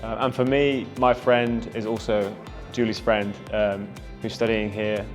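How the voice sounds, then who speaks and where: A man's voice: fairly low pitch, flat delivery, unhurried. Upbeat young man from the UK. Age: 20s. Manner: upbeat, chatty, with fillers. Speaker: trip participant. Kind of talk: casual interview answers. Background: music.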